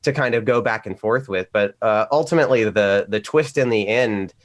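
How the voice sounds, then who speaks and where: A man's voice: on the low side, moderate pace. A man who works as a voice actor, talking casually on a remote panel and telling stories with acted-out asides. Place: remote video call.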